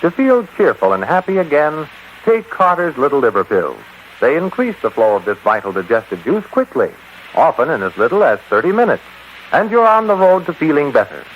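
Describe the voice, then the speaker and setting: An actor in a vintage radio commercial, playing an everyman who delivers an announcer's sales pitch. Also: very animated, brisk. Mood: earnest selling. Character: irritable man with indigestion turned cheerful pill pitchman. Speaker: advertising announcer. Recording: muffled, hissy old radio recording.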